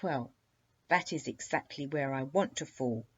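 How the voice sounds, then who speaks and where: A woman's voice: a bass voice, measured pace, slightly rough, lo-fi sound, heavily acted. A woman voicing a polite, fearless little princess in a children's story, with a courteous, knowing manner. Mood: cheerfully insistent.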